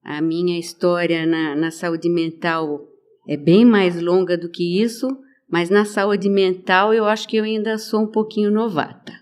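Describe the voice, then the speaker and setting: Slow-spoken nervous woman. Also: fairly low pitch, steady tone, darkish tone. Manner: shy and slightly nervous. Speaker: psychologist. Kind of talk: interview self-introduction.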